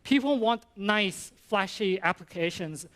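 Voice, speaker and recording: A middle-aged Thai man, a university professor, giving an informal conference talk in English with a Thai accent, halting and full of fillers and restarts. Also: high voice, lively intonation, fairly bright tone.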